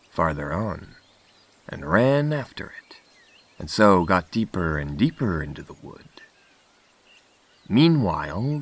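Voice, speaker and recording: A man narrating a scripted fairy tale as an audiobook reader, with expressive, deliberate delivery. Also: medium pitch, dry recording.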